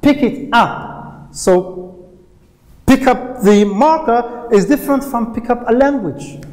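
A middle-aged man with a Moroccan accent teaches English in a classroom. His voice sits high, and he speaks slowly and patiently, with hesitant "uh" pauses between phrases. A long reverb rings around the room. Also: darkish tone. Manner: slow, patient explaining with frequent "uh" pauses. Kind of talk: classroom lesson explaining phrasal verbs. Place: classroom in front of a whiteboard.

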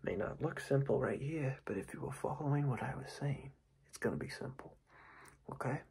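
Young man, whispery and very husky, creaky, ASMR coach roleplay, hushed repetitive team address. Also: medium pitch, moderate pace. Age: young adult. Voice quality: breathy, husky, mostly whispered. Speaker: ASMR creator playing a basketball coach. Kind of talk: ASMR roleplay pep talk and play explanation. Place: small dark room indoors.

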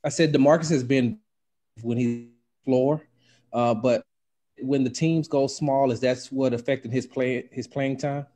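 A man's voice: mid-range voice, steady tone, unhurried, warm tone. A man, a sports reporter, putting questions to a coach at a press conference over a remote call. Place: remote call with an unstable connection.

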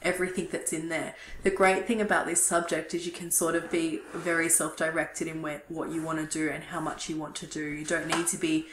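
Woman lecturer, kinda hoarse and throaty, lowish voice, chatty with lots of ums, echoey room. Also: brisk, few pauses, a little hiss or hum. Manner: relaxed, chatty with frequent ums. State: hoarse, losing her voice. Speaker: university lecturer. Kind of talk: recorded online lecture. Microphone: webcam at desk.

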